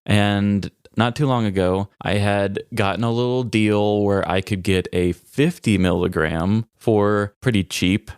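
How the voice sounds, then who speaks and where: A man's voice: on the low side, steady tone, a little slow, hardly any pauses, smooth, no echo, studio quality. A man co-hosting a podcast in casual comedic banter, telling things playfully. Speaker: podcast co-host.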